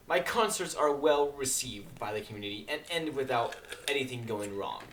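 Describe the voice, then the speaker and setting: A man voicing a prim, haughty, cultured female pony cellist with a deliberate, disdainful manner in a story reading. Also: medium pitch, animated, natural voice. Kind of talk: amateur dramatic fan fiction reading. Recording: echoey room with audible background noise.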